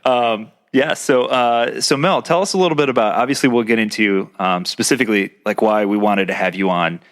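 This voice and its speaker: A man's voice: fairly low pitch, a little fast. A man co-hosting a podcast from someone's home, explaining things at length with many fillers and restarts. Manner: rambling, filler-heavy explaining. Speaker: podcast co-host. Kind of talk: casual podcast chat. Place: a private home.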